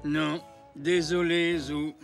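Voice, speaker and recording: A man playing a kindly, flustered older zebra grandfather in a French children's cartoon, speaking hesitantly with expressive sighs and a French accent. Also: a little high, drawn-out words, gravelly, exaggerated voice. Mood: apologetic, dismayed. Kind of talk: animated children's cartoon dub. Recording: music behind the voice.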